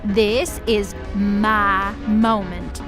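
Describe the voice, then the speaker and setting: A woman playing a vain, playful demoness villain in an audio drama, with a showy, mocking, drawn-out delivery. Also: mid-range voice, steady tone, music in the background. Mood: gloating.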